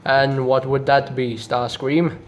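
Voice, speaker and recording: A man voicing a calm, hesitant robot defector in a low-key, casually apologetic way. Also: takes it slowly, lightly acted. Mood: reluctant.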